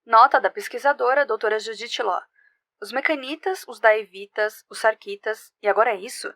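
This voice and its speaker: Woman acting as a dry-sounding, higher-pitched researcher. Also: moderate pace, sharp tone, no room sound. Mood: questioning. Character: woman research doctor reading her analytical notes. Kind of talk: scripted audio drama narration.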